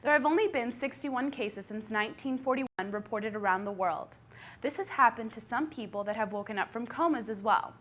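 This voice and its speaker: A woman anchor presenting an odd news story in a bright, chatty way. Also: fairly high pitch, brisk. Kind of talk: scripted news-style story.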